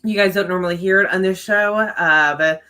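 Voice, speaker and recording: Bright-voiced woman with a mild stutter. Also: medium pitch, normal speed. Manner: rambling, with many fillers. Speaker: writing livestream co-host and author. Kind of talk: casual chat to viewers.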